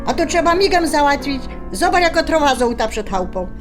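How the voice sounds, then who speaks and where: High-pitched, bossy highlander mother. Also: big pitch swings, a little fast, music in the background. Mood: bossy nagging. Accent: Polish highlander dialect. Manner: scolding, insistent. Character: bossy, nagging highlander mother fussing over her household. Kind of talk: audio drama acting.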